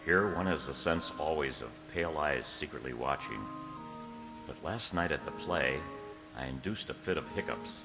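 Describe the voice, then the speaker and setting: Actor as trapped romantic man, anxious, extremely low voice, dramatic radio delivery, echoey hall, music underneath. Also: moderate pace. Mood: brooding, anxious. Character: anxious, romantic man narrating his diary while trapped. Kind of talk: dramatic diary narration and radio drama acting. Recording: echoey hall, long reverb.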